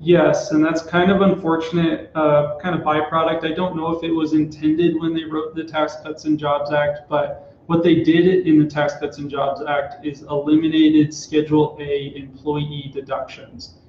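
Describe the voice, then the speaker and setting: A man in his thirties walks through tax rules in a measured, even way, his voice on the high side and quite flat. He sounds picked up in a small office room, with a roomy, dull quality to the sound. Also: a little hiss or hum. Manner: steady, explanatory, with filler "uh"s. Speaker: tax expert guest. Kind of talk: explaining tax rules in a live company broadcast.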